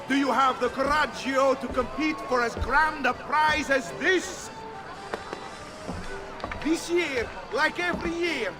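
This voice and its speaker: A booming Venetian carnival announcer. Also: male voice. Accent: Italian. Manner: showy, booming crowd-rousing patter. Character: boisterous Venetian carnival game announcer man. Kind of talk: video game cutscene acting. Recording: loud festival crowd and music behind. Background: festival crowd and music.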